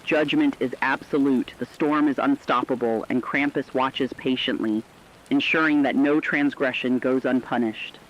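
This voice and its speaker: A man's voice: medium pitch, fairly even intonation, moderate pace, fairly bright tone, muffled recording, slight background noise, lightly acted. A man playing a solemn radio host, warning listeners of supernatural doom in portentous, emphatic scripted narration. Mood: solemn, foreboding.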